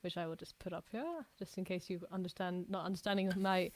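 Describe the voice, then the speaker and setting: A woman's voice: lowish voice, brisk, a little mellow. Upbeat woman with a Scottish American accent. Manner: upbeat, chatty, encouraging. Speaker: host. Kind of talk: casual livestream chat.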